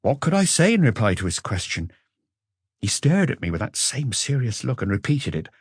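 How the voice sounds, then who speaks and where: A man's voice: mid-range voice, big pitch swings, moderate pace, husky, no echo, studio quality. A man voicing a troubled man under questioning, earnest and hesitant, in an audiobook. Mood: dismayed.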